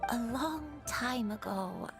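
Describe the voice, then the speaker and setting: A frail, gentle old grandmother from a video game. She talks slowly and haltingly in a creaky, wistful voice, with music underneath. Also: a little high, expressive, full character voice. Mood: sad, halting. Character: gentle, frail old granny recalling hazy memories. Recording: music behind the voice.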